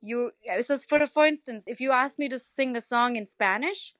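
A woman from India speaks, her voice sitting fairly high. She explains things emphatically as a guest singer, spelling words out. The sound is dry and close. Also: moderate pace, a bit bright, no room sound. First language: Gujarati. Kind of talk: interview conversation.

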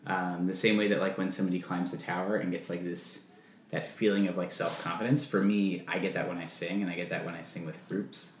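A man's voice: sits low, flat delivery, brisk, slight background noise. A man who is a camp music leader, answering interview questions in a chatty way, full of "um" and "like". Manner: chatty, filler-heavy, says "um" and "like" often. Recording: echoey room.

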